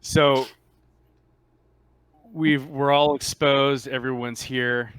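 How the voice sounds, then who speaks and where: A man running a casual tabletop roleplaying game as game master, explaining in a halting way with repeats and rephrasing. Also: medium pitch, fairly even intonation, fairly bright tone, clear, resonant tone, no room sound.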